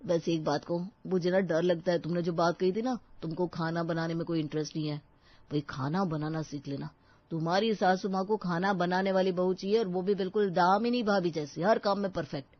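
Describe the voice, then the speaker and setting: A woman's voice: on the low side, steady tone, brisk, muffled recording, lightly acted. A woman voicing a cautious, teasing young bridegroom in casual dialogue for a dramatized family story.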